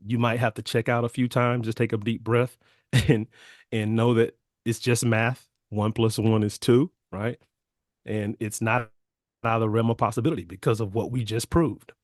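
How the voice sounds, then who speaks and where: A man presenting an investment opportunity on a video call, losing his voice and clearing his throat often. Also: medium pitch, a little mellow, dry recording, studio quality. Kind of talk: upbeat sales pitch on a video call.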